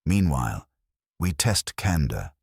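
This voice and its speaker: A man's voice: rumbling low, big pitch swings, slow, a little mellow, breathy edge, dry recording, studio quality, full character voice. A man voicing an imperious, pedantic master detective with pompous, formal and precise speech in an audiobook. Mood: lofty certainty.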